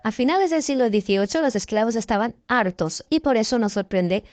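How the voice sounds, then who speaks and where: A woman reading a scripted news documentary narration in a clear, measured way. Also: on the high side, expressive, a little mellow, dry recording, faint music behind.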